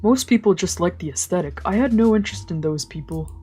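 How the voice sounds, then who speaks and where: A woman's voice: on the low side, lively intonation, quick pace, dark tone, slightly rough. A woman reading a visual novel aloud as a streamer, shifting between narration and casual asides, with game music behind her. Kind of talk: dramatic let's play read-aloud with casual asides.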